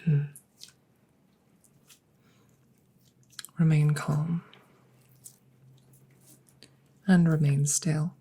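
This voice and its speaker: A woman playing a detached, formal alien scientist in an ASMR audio roleplay, speaking in a measured, breathy way that slips into whispers. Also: sits low, little pitch movement, mellow. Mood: calm, politely concerned.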